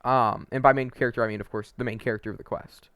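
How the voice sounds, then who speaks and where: Guy doing a chatty game ranking video, medium pitch, rambly and hesitant, really dry sound no room. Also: fairly even intonation, quick pace, a bit bright, smooth, no echo. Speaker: video creator and host. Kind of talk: casual opinionated video game review commentary.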